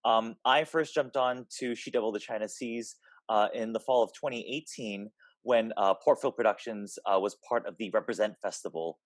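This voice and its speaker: A man's voice: on the low side, normal speed, dry recording. A man, a theater director, giving an informal, somewhat hesitant spoken introduction to a show.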